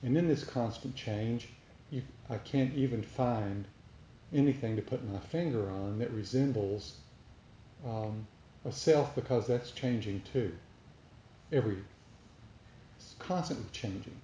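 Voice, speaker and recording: A man giving a reflective spiritual talk to a group as a meditation teacher, speaking deliberately with pauses between short phrases. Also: mid-range voice, mellow. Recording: roomy echo, faint background noise.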